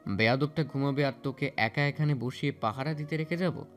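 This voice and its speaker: A man's voice: mid-range voice, a little fast, close to an everyday voice. A man playing an irritable, protective young older cousin in an audio story, with a scolding colloquial manner. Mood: firm. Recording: music bed under voice.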